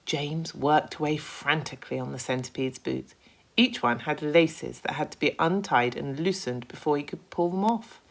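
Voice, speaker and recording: A woman reading a children's story aloud with expressive narration, like a primary school teacher. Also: sits low, normal speed, creaky.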